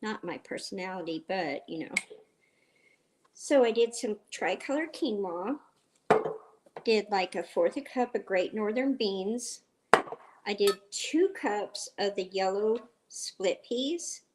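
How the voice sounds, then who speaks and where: Slow-talking raspy woman with a cold. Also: medium pitch, expressive, very noisy. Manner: chatty and rambling, reads viewer comments aloud. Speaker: livestream host. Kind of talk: casual cooking livestream chat.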